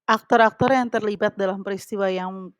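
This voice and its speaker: A woman in her thirties, a teacher-training candidate, reading a prepared assignment in a measured, formal way. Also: medium pitch, steady tone, heavy background noise. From Indonesia. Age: 30s. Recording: loud background music. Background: loud music.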